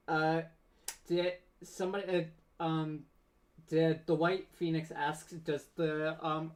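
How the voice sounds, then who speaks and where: A man with a fairly high, smooth voice hosts an informal online panel. His intonation stays quite level, and he feels his way through sentences in a halting, hesitant manner. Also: fairly bright tone. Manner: halting, hesitant, scattered delivery. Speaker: panel host.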